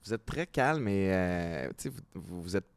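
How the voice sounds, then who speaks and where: A man's voice: sits low, animated, normal speed, smooth, no room sound. A man with a Québécois accent hosting an interview podcast, asking questions in a thoughtful, hesitant way with many fillers. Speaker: podcast host. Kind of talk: casual interview chat.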